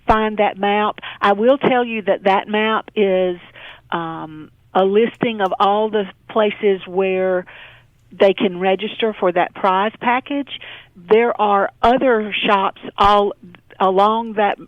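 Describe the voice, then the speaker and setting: Woman, radio interview guest, hesitant with frequent pauses, thin phone-band audio, dry. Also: mid-range voice, fairly even intonation, dry recording, phone-quality sound. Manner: hesitant, frequently pausing mid-sentence. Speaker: radio interview guest, local development organisation president. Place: remote call into a radio show.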